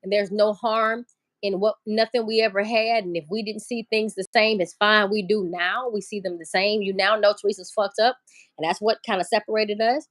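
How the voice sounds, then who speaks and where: A woman's voice: medium pitch, steady tone, moderate pace, no room sound. A woman hosting a casual livestream, talking loosely, swearing and greeting viewers in the chat. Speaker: livestream host.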